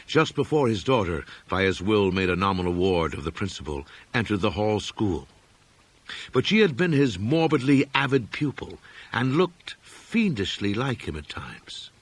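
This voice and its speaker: A man reading gothic horror fiction as an audiobook narrator, in measured, dramatic phrases that often fall away at the end. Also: lowish voice, a bit bright, breathy edge.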